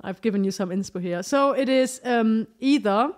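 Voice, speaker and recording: A woman's voice: fairly high pitch, moderate pace. German woman chatting on a podcast. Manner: playful, laughs often, quizzes her partner. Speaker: podcast co-host. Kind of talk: casual chat.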